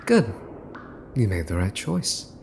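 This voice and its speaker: Man playing a slow-talking, smug young mafia heir. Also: fairly low pitch, very animated, darkish tone, a little hiss or hum, full character voice. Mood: teasing menace. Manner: teasing, smooth-talking, condescending, pausing for effect. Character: smug teasing mafia boss's son, possessive, coolly threatening. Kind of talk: ASMR boyfriend roleplay, scripted monologue to listener.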